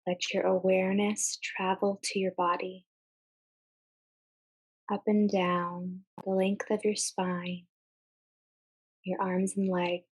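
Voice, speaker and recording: Female meditation guide, mid-range voice, very slow and gentle delivery with long pauses. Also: medium pitch, level tone, low-quality recording. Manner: gentle, unhurried, with long pauses between short phrases.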